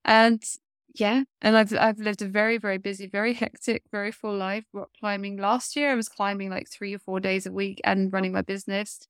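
A woman's voice: mid-range voice, flat delivery, a little fast, smooth, no room sound, studio quality. A woman in her forties, a coach speaking as an interview guest, talking earnestly with a blocked-up nose and many fillers. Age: 40s. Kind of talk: casual interview chat.

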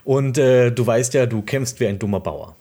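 A man hosting a gaming podcast, talking casually and playfully, his voice croaky from a cold. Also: mid-range voice, moderate pace, warm tone. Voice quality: husky. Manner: chatty, playful, many fillers. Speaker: podcast host.

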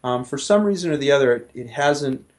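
A man's voice: medium pitch, animated, fairly quick, darkish tone. A middle-aged man, a professor guest, explaining his research conversationally with frequent restarts and "you know".

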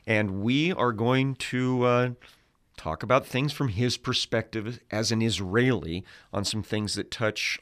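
A man's voice: fairly low pitch, a bit bright. A man hosting a Bible teaching program, welcoming listeners and interviewing a guest in a studio, with many fillers as he talks. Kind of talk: hosted interview.

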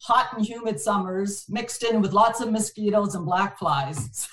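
A woman from Montreal whose first language is French, talking in an animated way as a podcast guest. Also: medium pitch, measured pace, distant mic, a little hiss or hum. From Montreal, Canada. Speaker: podcast guest, distribution manager. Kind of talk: casual interview chat. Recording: echoey, set back from the mic.

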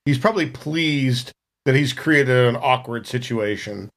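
A man's voice: mid-range voice, measured pace, a bit bright. A man narrating a tabletop role-playing scene in a casual, improvised storytelling style.

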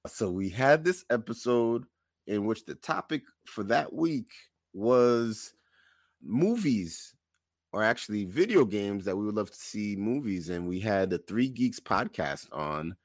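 A man's voice: lowish voice, lively intonation, unhurried, no echo, studio quality. A man co-hosting a podcast in casual banter, with an emphatic, mock-incredulous delivery. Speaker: podcast co-host.

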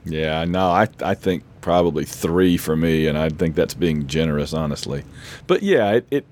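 A man's voice: rumbling low, moderate pace, a little mellow. A man hosting a sports podcast, his voice scratchy from losing it, rambling casually. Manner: rambling, self-deprecating. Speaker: podcast host.

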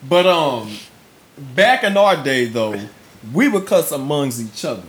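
Lively man in his thirties telling stories. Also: on the high side, unhurried. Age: 30s. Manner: animated, laughs often while telling stories. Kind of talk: casual storytelling chat.

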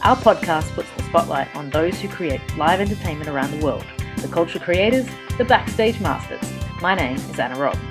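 Woman podcast host, slightly low pitch, scripted intro, music clearly underneath. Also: lively intonation, moderate pace.

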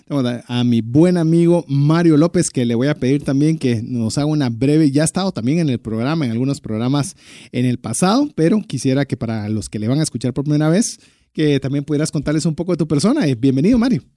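A man's voice: a little high, lively intonation, fast talker, dark tone, no echo, studio quality. A man hosting a radio show in a conversational style from a booth. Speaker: radio show and podcast host.

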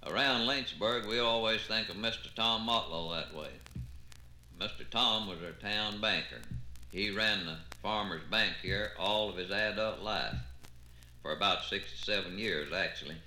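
A man narrating folksy spoken-word tales with unhurried asides. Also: fairly low pitch, sharp tone, a little hiss or hum. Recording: roomy echo, music behind.

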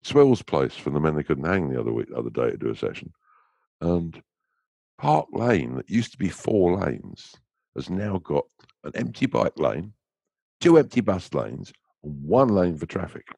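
English male musician, very deep, gravelly voice, rambling anecdotal chat. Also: very animated, normal speed, warm tone, no room sound. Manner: rambling, anecdotal, many restarts. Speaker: interview guest, musician. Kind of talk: casual chat.

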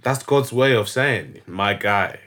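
A young man, chatting on a casual podcast. His voice sits a little low, and he talks things through reflectively, at length. Swearing comes easily to him. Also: lively intonation, slow pace, a bit bright, slight background noise. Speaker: podcast co-host.

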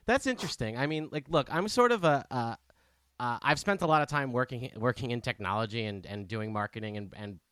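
Man, middle-aged, podcast host, rambling halting questions, smooth voice, dry close sound. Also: mid-range voice, lively intonation, fairly bright tone, no echo. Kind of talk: casual interview talk.

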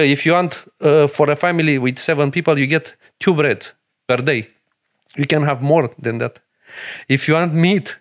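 A middle-aged man from Romania with a Romanian accent, a chef and restaurant owner speaking earnest, halting English in a podcast interview. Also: fairly high pitch. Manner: broken English, earnest and emphatic, many pauses.

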